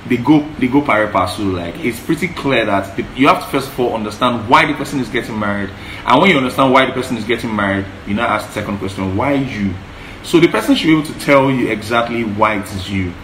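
A man's voice: mid-range voice, animated, fast, a bit bright. A man in his thirties with a Yoruba accent, co-hosting a casual advice chat, talking earnestly and emphatically and repeating points. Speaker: co-host. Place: living room, indoors. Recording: echoey room, faint hiss.

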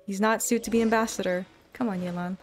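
A woman's voice: medium pitch, fairly even intonation, fast, soft background music. A young woman chatting casually and ramblingly while streaming a video game. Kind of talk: casual rambling livestream chat.

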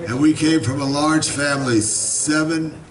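Raspy older man addressing a garden crowd. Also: fairly high pitch, fairly even intonation, measured pace. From Los Angeles, United States. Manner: warm, effusive, rambling with repeats. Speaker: guest speaker at a community reception. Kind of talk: informal public speech. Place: outdoor garden party at night. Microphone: handheld mic on a stand, filmed from afar. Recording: distant camera audio, crowd chatter. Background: crowd of guests.

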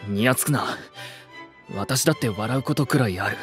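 A man's voice: mid-range voice, moderate pace, faint music behind, natural voice. A male actor playing a stern, aloof teenage boy idol in a Japanese audio drama, speaking formally and haughtily. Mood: haughty. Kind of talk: Japanese anime-style audio drama acting.

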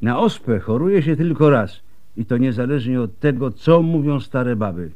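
An actor playing a weary, wry old doctor scarred by smallpox in a radio drama. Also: male voice, medium pitch, expressive, muffled recording, natural voice. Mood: firm, sardonic. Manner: wry, world-weary, unhurried.

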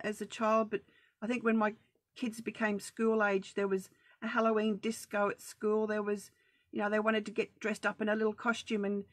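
A woman's voice: mid-range voice, fairly bright tone, dry recording. A middle-aged Australian woman hosting a casual live video chat, talking in a chatty, practical and reassuring way about saving money. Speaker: budgeting group host. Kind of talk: casual Facebook Live talk.

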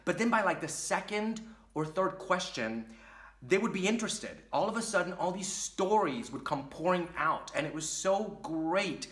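A man in his thirties from Puerto Rico talks straight to camera in a bright, forward voice that sits a little high. He tells his story in an animated, chatty way. The small room gives the sound a roomy echo. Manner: animated, gestures a lot while talking. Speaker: vlogger. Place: small dim room with curtain behind.

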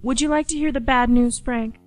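An actress playing a smug, menacing girl who teases deliberately in a radio play. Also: a little high, steady tone, faint music behind, natural voice. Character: smug, menacing girl relishing bad news.

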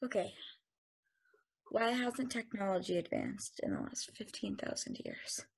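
A woman reading the part of an anxious, inquisitive space probe in a play, halting and questioning, over a video call. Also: medium pitch, wildly swooping pitch, gravelly, slightly rough, exaggerated voice. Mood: subdued, curious. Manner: halting, questioning, increasingly urgent. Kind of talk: table read of a stage play. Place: home room, over a group video call.

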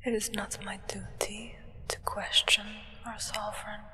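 A woman playing a solemn, devoted human acolyte of a vampire sovereign in an ASMR roleplay, speaking in a formal, reverent, breathy whisper. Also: mid-range voice, little pitch movement, bright, forceful tone, big-room sound, slight background noise. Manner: slow, measured, reverent, formal.

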